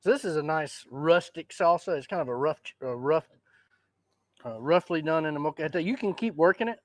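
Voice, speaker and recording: A man's voice: on the high side, lively intonation, brisk, dry recording. A man, explaining recipes in an easygoing cooking chat. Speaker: cooking show host. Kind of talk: casual cooking demonstration.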